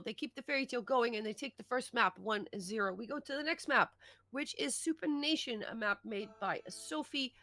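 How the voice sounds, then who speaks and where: A woman's voice: mid-range voice, fairly bright tone, no echo. A woman commentating live on a racing game, adding analysis as an esports co-caster.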